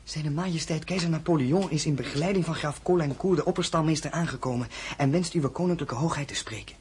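Casting: male actor as deferential palace servant, stiff and ceremonious, audio drama, clear background noise. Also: fairly high pitch, fast, a little mellow, lightly acted. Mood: formal. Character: formal, deferential royal servant announcing visitors.

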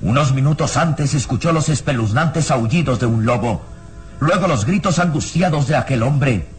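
An actor narrating an adventure radio serial in a solemn, suspenseful, dramatic style. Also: male voice, medium pitch, lively intonation, faint music behind, natural voice. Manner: suspenseful, solemn, measured phrasing. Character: omniscient suspenseful adventure storyteller.

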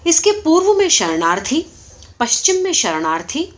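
A woman reading a story aloud as expressive scripted narration, in the manner of an audiobook reader. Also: a little high. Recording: dull, lo-fi recording.

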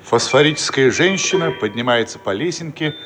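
A man's voice: mid-range voice, animated, normal speed, over music. A man narrating a radio play, reading out scripted stage directions. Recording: noisy archive radio recording.

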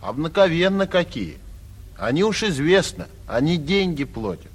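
Husky, insolent tavern servant. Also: male voice, a little high, very animated, normal speed. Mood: sly, indifferent. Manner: laconic, sly, servile on the surface. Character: dry, stubborn, insolent tavern servant, unimpressed. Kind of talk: 1940s theatrical radio acting.

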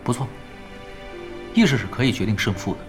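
An actor in a Chinese audio drama playing a laid-back veteran pro gamer, teasing and persuasive in an unhurried way. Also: male voice, on the low side, lively intonation, lightly acted. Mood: probing, calm. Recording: background music under the dialogue.

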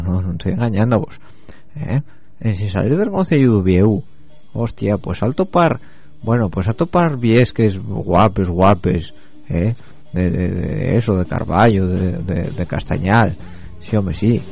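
A man with an Asturian accent hosts a radio show in a loose, rambling monologue, often turning to ask his listeners questions. His voice sits low and sounds mellow and relaxed. Faint music plays in the background. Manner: chatty and rambling, addresses listeners directly, many fillers. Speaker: radio host.

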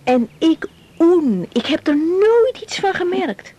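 An actress playing a curious, chatty woman doctor in a naturalistic radio drama, exclaiming and halting as she reacts to a confiding colleague. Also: very high-pitched, sing-song, dark tone, full character voice. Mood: flustered, surprised.

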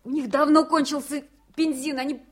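A woman's voice: sits high, fairly even intonation, normal speed, lightly acted. An actress playing an anxious, impulsive, caring young woman in a Soviet radio drama. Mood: distraught, urgent.

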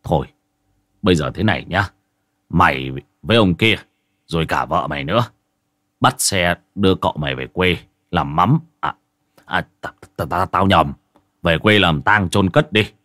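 A gruff older boat owner in a spoken ghost story, blunt and bossy, his low voice rising and falling as he barks orders, as a male narrator puts him on. The voice stays close to the storyteller's own. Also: lively intonation, normal speed, fairly bright tone, no room sound.

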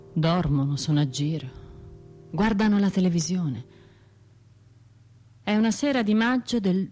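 A woman's voice: on the low side, a little mellow, lightly acted. An actress playing a troubled, sleepless Florentine woman in a radio monologue, with an intimate, brooding way of talking to herself in short broken phrases. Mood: wry, weary.

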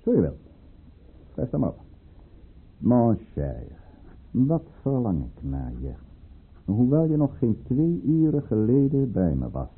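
A man's voice: medium pitch, wildly swooping pitch, a little slow, long pauses, husky, full character voice. A man acting in a Dutch radio drama as a scheming governor at the tsarist court, speaking in a formal, coldly commanding way. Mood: suave, mocking. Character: scheming, menacing male governor and aristocratic lover at tsarist court. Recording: muffled, tinny old radio play recording.

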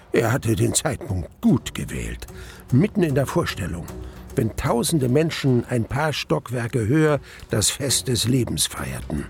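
An actor playing a grave man who recounts mortal terror, narrating a radio play deliberately and with weighted pauses. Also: mid-range voice, vocal fry. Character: grave male narrator recalling his mortal terror in a cellar. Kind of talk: dramatic first-person radio play narration.

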